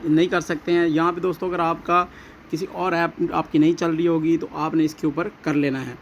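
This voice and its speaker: A man's voice: a little high, little pitch movement, brisk. A man with a Pakistani accent narrating a step-by-step app tutorial, explaining repetitively and addressing viewers as friends. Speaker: tutorial host. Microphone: voice-over recorded alongside phone screen recording. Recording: dull lo-fi audio, faint background noise.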